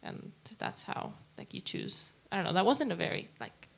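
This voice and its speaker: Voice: medium pitch, lively intonation, normal speed, creaky. An audience member thinking aloud in a rambling way, full of "like" and half-finished thoughts.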